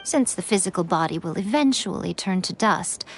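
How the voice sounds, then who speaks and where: An actress playing a teasing, elegant, centuries-old fox shrine maiden in a video game, sly, languid and condescending. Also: female voice, lowish voice, lively intonation, moderate pace, slight background noise. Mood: calm, explanatory. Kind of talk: video game character dialogue. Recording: game music behind the voice.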